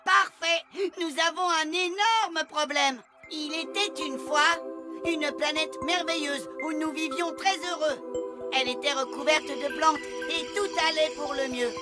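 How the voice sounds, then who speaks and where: An earnest alien visitor in a children's cartoon. The voice is extremely high, with formal, literal phrasing, over prominent music. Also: female voice, steady tone, measured pace. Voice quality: high-pitched. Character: polite, earnest alien visitor from another planet. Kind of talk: animated children's cartoon acting. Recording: loud music behind.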